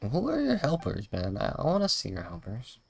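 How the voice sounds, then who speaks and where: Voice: mid-range voice, huge pitch swings, fairly quick, vocal fry, darkish tone, breathy edge. A streamer giving casual, playful gameplay commentary, muttering along and making little sing-song sound effects. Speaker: game streamer.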